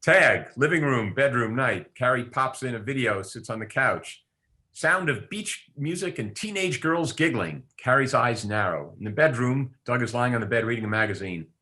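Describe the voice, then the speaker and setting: A man's voice: medium pitch, expressive, normal speed, pushed and bright. A man narrating stage directions at a table read.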